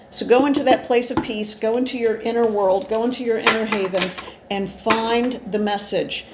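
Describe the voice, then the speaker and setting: A woman with a gravelly voice giving an informal spiritual card reading, talking in an earnest, meandering way with gentle encouragement. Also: medium pitch. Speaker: spiritual channeler and card reader. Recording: echoey room with faint noise.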